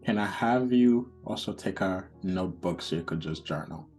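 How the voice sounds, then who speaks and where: A man's voice: sits low, moderate pace, a little mellow, soft background music. A man hosting a podcast as a therapist, talking in an encouraging, easygoing way. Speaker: therapist host.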